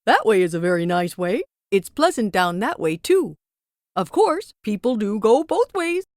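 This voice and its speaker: A woman's voice: medium pitch, sing-song, normal speed, darkish tone, no echo, clean studio sound, lightly acted. A woman voicing an amiable male scarecrow for a storybook, chatty and wavering as if he can never decide. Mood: amiable, dithering. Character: friendly, dithering male scarecrow eager for brains. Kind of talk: storybook character voice.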